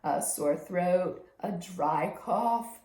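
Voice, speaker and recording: A hoarse, short-of-breath woman recording a personal health video journal, speaking in a slow, measured way with frequent ums and pauses to clear her throat. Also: fairly low pitch, echoey room, background noise. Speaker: video diarist.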